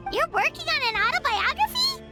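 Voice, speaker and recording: A woman voicing a small, excitable, gluttonous childlike fairy companion in a video game, with a squeaky, exclamatory delivery. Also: fairly quick, music in the background, full character voice. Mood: curious. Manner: exclamatory, refers to self in third person.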